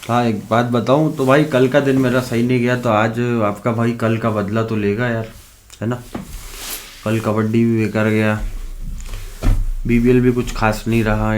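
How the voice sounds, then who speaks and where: A man's voice: fairly low pitch, slight background noise. A man with a sore throat and a cough, talking casually straight to his viewers as a fantasy cricket tipster, calling them brothers and checking in with "okay" again and again. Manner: chatty, keeps addressing viewers as brothers, repeats "okay". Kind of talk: casual direct-to-camera vlog.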